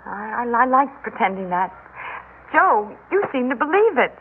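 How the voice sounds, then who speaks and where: A woman's voice: on the high side, very animated, moderate pace. An actress playing an anxious, pleading wife and foster mother in a faltering, earnest radio drama manner. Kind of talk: classic radio drama acting. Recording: tinny, noisy old radio broadcast.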